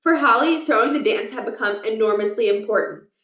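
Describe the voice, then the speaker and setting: A woman reading a children's storybook aloud with expressive, deliberate delivery in a roomy space. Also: on the high side, far from the mic. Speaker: read-aloud reader. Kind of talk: scripted storybook narration. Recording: roomy, echoing room.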